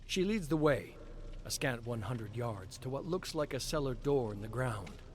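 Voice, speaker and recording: A man giving a measured, expressive dramatic reading of game story text as a lore reader on a live stream. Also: mid-range voice. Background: clear background music.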